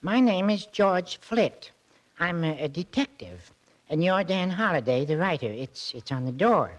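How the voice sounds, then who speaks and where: An actor playing a tiny, timid but boastful private detective in a 1940s radio drama, stammering and self-important. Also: male voice, on the high side, lively intonation, unhurried. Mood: pompous, nervous. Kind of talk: 1940s radio comic acting. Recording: old 1940s radio recording.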